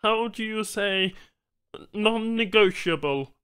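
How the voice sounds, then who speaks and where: A man voicing a polite, uncertain alien trader with halting, oddly phrased speech in an audiobook. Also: sits high, fairly bright tone, smooth, voice pushed up.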